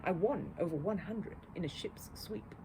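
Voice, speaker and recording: A woman voicing a polite, earnest, self-important young male bear in a storybook, quick to take offence. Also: fairly low pitch, noisy background, natural voice.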